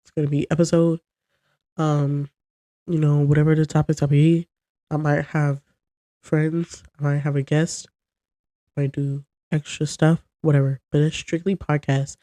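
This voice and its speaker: Young woman, congested, unhurried talk, mellow tone, chatty rambling podcast host. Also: low voice, flat delivery, no echo. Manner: chatty and rambling, talking to camera. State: congested from a cold. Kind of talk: casual solo chat.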